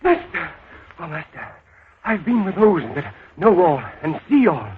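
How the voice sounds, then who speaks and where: An actor playing a fearful thief in a 1930s radio drama, pleading and alarmed. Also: male voice, a little high, very animated, a little slow, slight background noise. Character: fearful thief among the beggars, male adult. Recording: tinny old radio broadcast.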